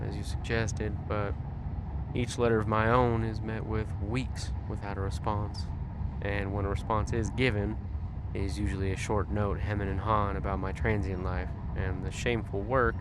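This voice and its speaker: An actor playing a weary young frontier occultist who reads his letter aloud, in a measured, reflective, old-fashioned formal way. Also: fairly low pitch, background noise, lightly acted. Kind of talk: audio drama monologue.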